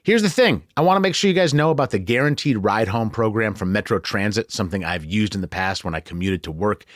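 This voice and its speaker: An American man with a fairly low voice hosts a podcast. He talks in a chatty, conversational way with a playful, self-deprecating streak. The sound is dry, with very little room on it. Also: expressive, fast talker, no echo. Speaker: podcast host.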